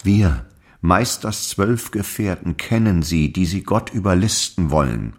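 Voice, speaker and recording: An actor playing a grave religious prophet, reciting archaic sacred lines in an incantatory, husky voice for an audio drama. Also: male voice, sits low, lively intonation, measured pace. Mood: grave, prophetic.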